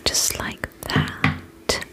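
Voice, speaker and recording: A woman whispers breathily in an ASMR roleplay, playing a spa attendant. Her pace is extremely slow and drawn out. She soothes the listener with short, repeated reassurances.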